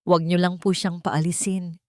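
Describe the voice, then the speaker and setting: A woman storyteller voicing a gentle, polite young woman who pleads in a dramatic story. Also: on the low side, little pitch movement, moderate pace, dry recording, studio quality, natural voice. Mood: tearful pleading.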